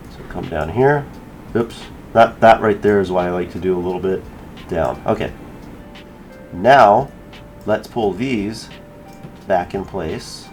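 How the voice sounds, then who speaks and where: A man with a low voice talks through a screen-recorded software tutorial. He is informal and thinks aloud as he works, with faint music behind him. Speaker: tutorial creator.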